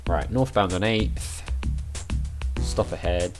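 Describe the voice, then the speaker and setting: A man with a croaky, worn voice, chatting casually as he plays through an adventure game and thinks aloud. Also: low voice, slow pace, music in the background. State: croaky voice, recovering from overuse. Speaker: let's play gamer.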